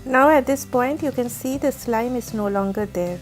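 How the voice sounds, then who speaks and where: A woman with a raspy, heavy voice from a flu, giving careful step-by-step cooking instructions as the host of a recipe channel. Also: a little high, normal speed, faint music behind. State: hoarse from a flu. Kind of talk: recipe voice-over narration.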